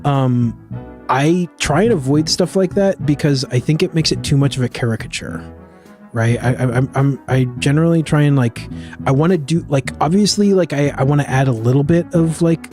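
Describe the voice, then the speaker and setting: A man's voice: on the high side, normal speed, darkish tone, soft background music. A man livestreaming and chatting casually with viewers in a put-on Scottish accent, rambling with lots of fillers and restarts. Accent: put-on Scottish, a mix of Glaswegian and Edinburgh. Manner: rambling, with many fillers and self-corrections. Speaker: live streamer. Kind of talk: casual chat with stream viewers.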